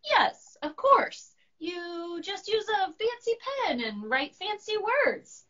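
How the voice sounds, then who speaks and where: A woman's voice: extremely high pitch, sing-song, slow pace, bright, forceful tone, muffled recording, voice pushed up, heavily acted. A woman voicing a silly, boastful male monster from a storybook, playful and blustering. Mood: bluffing.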